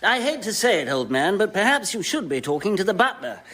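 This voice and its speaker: An actor with a British accent playing a hearty, dim, upper-class chum in a radio comedy sketch. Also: male voice, sits high, big pitch swings, brisk, pushed and bright, exaggerated voice. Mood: hearty, puzzled. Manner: hearty, old-fashioned clubbish.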